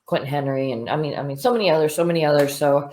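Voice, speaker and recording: A woman's voice: low-pitched, rapid-fire, darkish tone. A woman in her thirties, a fighter, talking frankly in a casual interview, swearing freely and full of fillers. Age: 30s. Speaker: bare knuckle fighter.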